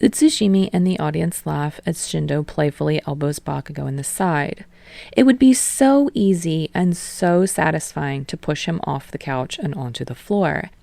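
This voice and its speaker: A woman narrates fan fiction at a steady storytelling pace, her voice sitting low with a gravelly fry. The recording is dry and close, with no room around it. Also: moderate pace, no echo. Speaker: podfic reader.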